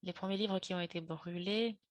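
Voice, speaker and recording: A woman delivers a lecture in an online webinar, her voice low-pitched and heard dry with no room sound. She talks haltingly, filling pauses and correcting herself mid-word as she works through her points. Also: steady tone, no room sound. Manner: halting, with frequent fillers and self-corrections. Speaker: webinar presenter. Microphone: video call.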